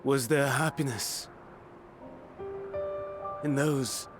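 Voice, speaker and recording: Gentle, remorseful, slow-spoken philosopher. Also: male voice, mid-range voice, fairly even intonation. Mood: pleading, sorrowful. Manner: formal, earnest, measured. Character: gentle, remorseful, philosophical man searching for meaning. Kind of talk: dramatic video game voice acting. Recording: music behind the voice.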